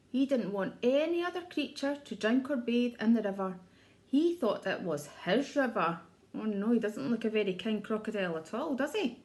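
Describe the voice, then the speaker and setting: A woman with a high-pitched voice reads a picture book to young children. Her delivery is expressive storytelling, in the manner of an early years teacher who draws listeners in with questions. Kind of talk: children's storybook read-aloud.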